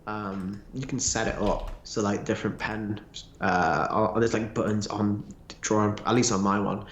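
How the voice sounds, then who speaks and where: A man's voice: fairly low pitch, level tone, normal speed, a little hiss or hum. A man chatting casually about fandom, opinionated and emphatic, with some salty language. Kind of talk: casual fan discussion. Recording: roomy, echoey sound.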